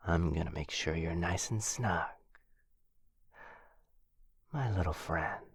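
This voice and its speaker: A man's voice: sits low, mellow, husky, no echo, studio quality, exaggerated voice. A man voicing a possessive, doting dragon captor in a boyfriend ASMR fantasy roleplay, theatrical and coaxing, with hesitant musing. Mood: sleepy, doting.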